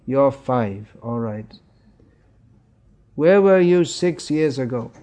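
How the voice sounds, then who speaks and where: Man, middle-aged, spiritual teacher: slow, unhurried delivery, gentle and chuckling. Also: medium pitch, lively intonation. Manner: gentle, chuckling, questions the listeners. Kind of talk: informal spiritual talk.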